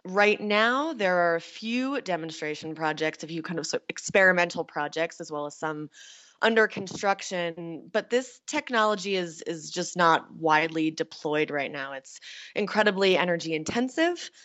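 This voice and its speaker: A woman reports in a news interview, her voice slightly low. She explains in a measured way, leaning on key words. The sound is a little muffled. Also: lively intonation, a bit bright. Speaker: energy reporter.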